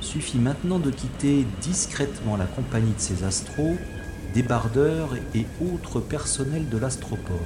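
An actor playing a wry, stubborn special agent who narrates his own science-fiction audio drama in a sardonic, deliberate way. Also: medium pitch, animated, background noise, natural voice. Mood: watchful, wry.

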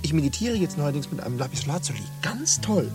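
A man's voice: fairly high pitch, sing-song, fast, over music. An actor playing a chatty, gushing male colleague who chatters theatrically in a radio play.

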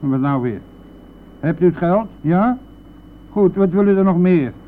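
An actor playing a brazen, evasive bus driver in a radio play, blustering and dismissive, then wheedling, with a gravelly voice. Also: male voice, high-pitched, lively intonation, unhurried. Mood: dismissive, cajoling. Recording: noisy phone-like old radio play recording.

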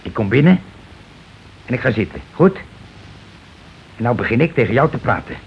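An actor playing a bossy, calculating working-class husband in a Dutch radio play, blunt and argumentative in colloquial speech. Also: male voice, mid-range voice, sing-song, normal speed, vocal fry. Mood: scheming. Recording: dull, noisy old radio recording.

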